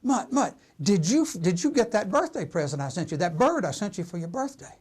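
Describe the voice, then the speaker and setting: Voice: mid-range voice, sing-song, brisk, darkish tone, husky. A storyteller voicing an incredulous man pleading with his best buddy, exasperated, emphatic and repeating himself.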